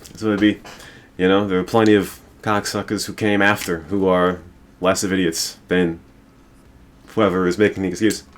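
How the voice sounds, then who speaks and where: A man's voice: sits low, flat delivery, normal speed. A male podcast host ranting in a rambling, profane and emphatic way. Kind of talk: casual podcast rant.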